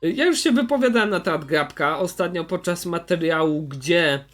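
High-pitched man casually commenting. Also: expressive, normal speed, fairly bright tone, soft background music. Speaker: YouTuber host recommending channels.

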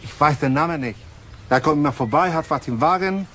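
A man's voice: on the high side, brisk, a bit bright, slight background noise, close to an everyday voice. An actor as a hesitant, terse male witness. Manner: clipped short phrases. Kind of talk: German crime radio drama acting.

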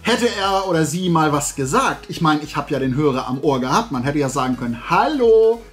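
A man in his forties with a somewhat high voice chats casually as a vlog host. He tells his story in an animated way and drops in sarcastic asides. Faint music sits behind his voice. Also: a little fast. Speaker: host of a talk and toy channel. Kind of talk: casual chat, telling an anecdote to camera. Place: small room at home with shelves of collectibles.

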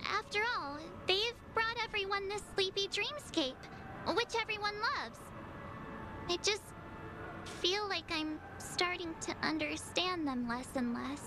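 A woman's voice: extremely high pitch, animated, a little slow, noisy background, heavily acted. A woman voicing a wistful, sensitive teenage girl in a video game, girlish and plaintive, speaking hesitantly and earnestly. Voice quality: girlish, youthful.

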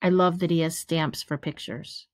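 A middle-aged woman reading a children's picture book aloud, deliberately, pausing between phrases. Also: low voice, steady tone. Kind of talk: children's storybook read-aloud. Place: small room at home, indoors. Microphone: webcam or device at arm's length.

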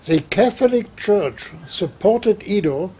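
An older man recalling his childhood in a halting interview, sitting outdoors in a garden. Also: high voice, expressive, mellow, a little hiss or hum. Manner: halting, repeats words. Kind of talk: reminiscing interview.

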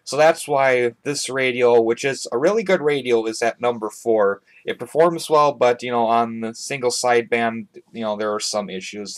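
A young man chatting casually and a little hesitantly as he gives an informal hobbyist review, recorded in a small room. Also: medium pitch. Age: young adult. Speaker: hobbyist video host. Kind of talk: casual product review chat. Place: small bedroom indoors.

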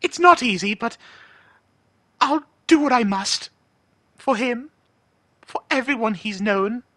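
A man voicing a doting, burdened owl demon prince, earnest and halting, in a cartoon-style monologue. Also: extremely high pitch, expressive, a bit bright, no echo, studio quality, voice pushed up, heavily acted. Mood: anguished, pleading.

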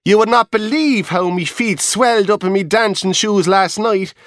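Guy doing a squeaky fussy dancer, plaintive, louder than the narration. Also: moderate pace, dry recording, clean studio sound. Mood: chatty. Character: chatty young male Irish dancer, fussy about his feet.

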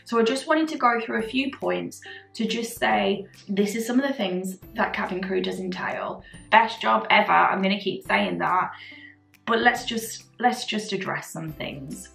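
Chatty woman with a gravelly edge. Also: medium pitch, unhurried, echoey room, soft background music, rough recording. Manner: chatty, animated, gestures a lot while talking. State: a little hoarse. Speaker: vlogger, former cabin crew. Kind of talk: casual chat to camera. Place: bright living room at home. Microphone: camera in front at desk distance.

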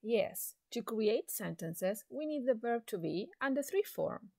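Woman, English teacher voice-over, slow and clear, higher voice, dry close mic. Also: animated, darkish tone, dry recording. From Chile. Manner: slow, deliberate, encouraging teacher talk. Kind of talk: slow recorded classroom lesson.

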